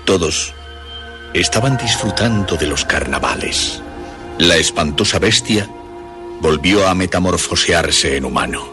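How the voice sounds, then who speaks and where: Deep-voiced male horror narrator, animated intonation. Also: over music. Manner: measured, dramatic storytelling. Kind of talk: scripted horror story narration for radio.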